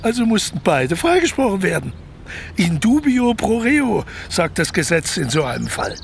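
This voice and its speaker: An actor playing a smooth-talking, scheming crook in a radio play, persuasive and cocky as he explains his plans. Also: sits high, big pitch swings, normal speed, a little hiss or hum, heavily acted. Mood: smug, calculating. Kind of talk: naturalistic German radio play dialogue.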